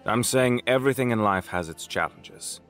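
A man's voice: medium pitch, normal speed, a bit bright, soft background music, lightly acted. An actor playing an avuncular older city mayor in an audio drama, hearty and fatherly. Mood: reassuring.

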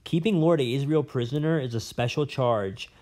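A man's voice: medium pitch, normal speed, mellow, voice pushed up, lightly acted. A man voicing a pompous, rambling old male professor in an audiobook, blustering and fussy. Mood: conspiratorial. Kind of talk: audiobook character voice.

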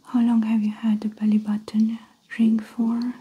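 Soft whispering woman, ASMR doctor. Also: on the high side, monotone, slightly rough, dull audio. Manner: gentle, unhurried, explaining each step. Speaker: ASMR performer playing a doctor. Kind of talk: soft-spoken ASMR medical exam roleplay.

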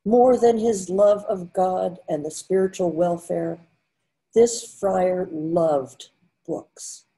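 An older woman narrating a readers theater story in a measured way over a video call. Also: fairly low pitch, steady tone, mellow, poor audio. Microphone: video call webcam.

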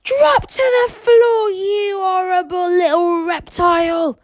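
A woman's voice: mid-range voice, very animated, takes it slowly, no room sound, clean studio sound. A woman voicing a pompous, blustering Viking chief and father in a storybook reading. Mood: furious bellowing. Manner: blustering, loud commands. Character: gruff, bellowing Viking chief father, pompous and easily impressed.

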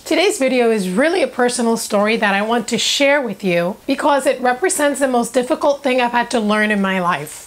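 A woman's voice: a little high, expressive, brisk, few pauses. A young woman from Cuba and South Florida, a native Spanish speaker, telling a personal story to camera in an earnest, emphatic way. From Cuba, later South Florida. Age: young adult. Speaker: presenter of a personal video channel. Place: small furnished room indoors.

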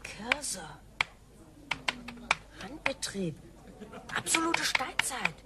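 Brash, high-voiced futuristic kid. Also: female voice, very animated, very slow, very noisy, exaggerated voice. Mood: dismayed, sullen. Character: brash, distressed futuristic child, cursing and pleading. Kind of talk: German radio play acting.

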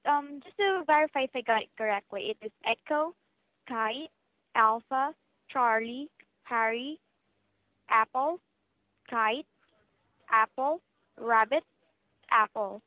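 A woman with a high voice takes a customer service call. She sounds polite and formal. Her phrasing follows a careful service script. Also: slow, long pauses, pushed and bright, dry recording, low-quality recording.

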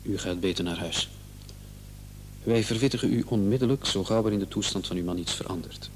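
A man's voice: deep voice, fairly quick, lightly acted. A man in a radio drama playing a hospital doctor who is placating and condescending. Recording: old radio play, faint noise and hum.

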